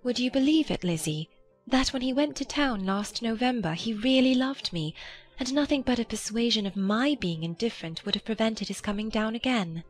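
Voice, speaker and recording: A woman playing a gentle, trusting, earnest young gentlewoman in a dramatized period audiobook. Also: a little high, expressive, normal speed, faint music behind. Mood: happy, tender. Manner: soft-hearted, earnest.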